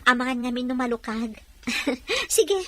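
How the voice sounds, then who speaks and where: A woman's voice: high-pitched, animated, a little slow, bright, forceful tone, a little hiss or hum, exaggerated voice. A woman playing an earnest wife in an Ilocano audio drama. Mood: earnest explaining. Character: Amanda, earnest wife who takes in a baby. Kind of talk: Ilocano radio-style drama acting.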